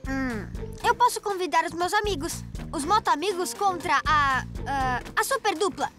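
A friendly boy motorbike from a cartoon, with a Brazilian accent. The voice is very high and full of swooping melody, excitable and earnest, over clear music. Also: normal speed. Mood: eager, cheerful. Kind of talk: cartoon dubbing.

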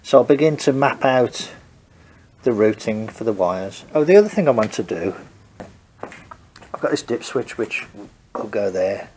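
A man's voice: on the low side, expressive, measured pace, pauses often, a little mellow, slight background noise, rough recording. A man with a head cold, casually talking through his car wiring work in a home garage, hesitant and thinking aloud. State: congested from a cold. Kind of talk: casual workshop walkthrough.

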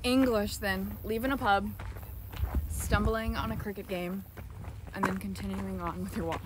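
A woman's voice: mid-range voice, steady tone, moderate pace. A young woman chatting casually to a handheld phone as she walks outdoors. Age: young adult. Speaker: travel vlogger. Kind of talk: casual walking vlog chat. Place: outdoors on country paths and lanes. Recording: noisy outdoor wind and ambience.

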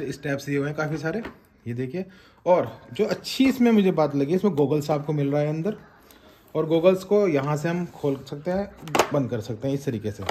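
A man's voice: fairly high pitch, warm tone, a little hiss or hum. A man in his thirties from India, casually unboxing and reviewing a helmet for viewers, mixing in English phrases. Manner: chatty, addresses viewers as guys and friends, mixes in English phrases. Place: small home room with shelves of trophies, indoors.

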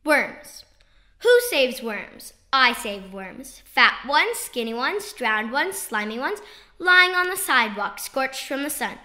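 A woman reads children's poems in a notably high voice with a bright, forward tone. Her delivery is playful and sing-song, leaning on key words with clear emphasis, as in a storybook read-aloud. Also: big pitch swings, takes it slowly. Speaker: children's poetry author and reader.

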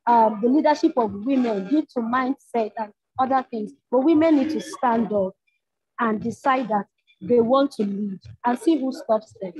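A woman's voice: a little high, moderate pace, darkish tone, slightly rough. A young Nigerian woman who leads a campus group, speaking earnestly on a panel over a video call, urging and repeating phrases for emphasis. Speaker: panelist, leader of a campus organization. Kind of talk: impassioned panel talk. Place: indoor room at home. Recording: muffled lo-fi video call audio.

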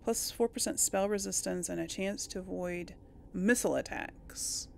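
A woman's voice: mid-range voice, normal speed, gravelly, a little mellow, a little hiss or hum. A woman giving casual let's play commentary, chatting and thinking aloud to her viewers. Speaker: gaming video host.

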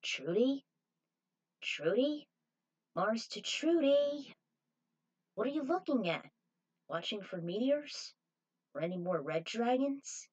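A woman's voice: on the high side, sing-song, slow pace, pauses often, darkish tone, full character voice. A woman reading the part of a casual, teasing young space cowboy in a story.

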